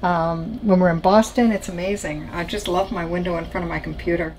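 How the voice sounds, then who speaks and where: A woman's voice: on the low side, fairly even intonation, brisk, darkish tone, slight background noise, rough recording. A woman hosting a sailing vlog, chatting casually about life aboard. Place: on a sailboat in a harbor.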